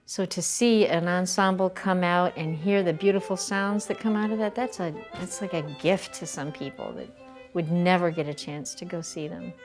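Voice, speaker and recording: A woman's voice: fairly low pitch, normal speed. An older American woman speaking casually in an interview as a workshop manager. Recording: music playing behind the voice.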